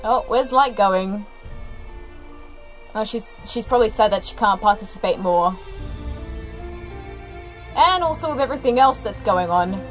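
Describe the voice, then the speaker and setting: A woman's voice: medium pitch, animated, a little slow, long pauses, low-quality recording. A woman giving casual, easily amused reaction commentary, thinking aloud as a cartoon plays. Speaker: reactor commenting on an animated series. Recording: music playing behind. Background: soundtrack of the cartoon being watched.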